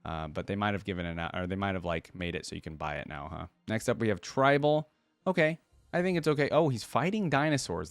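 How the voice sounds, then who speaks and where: A man's voice: low voice, no echo. A man hosting a reaction video, commenting casually and informally as he thinks aloud.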